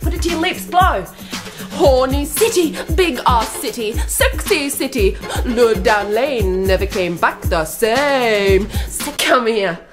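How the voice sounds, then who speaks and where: A woman's voice: a little high, animated, slow pace, music in the background. An actress with an Australian accent playing a brash, flirtatious woman in a radio play, teasing, earthy and bossy. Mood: sultry, playful. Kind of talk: radio drama acting.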